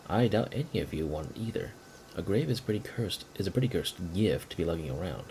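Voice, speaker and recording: A man's voice: deep voice, sing-song, fast talker, darkish tone, a little hiss or hum, rough recording. A man voicing a wry, grumbling sanatorium patient's letter in a video game, conversational and emphatic.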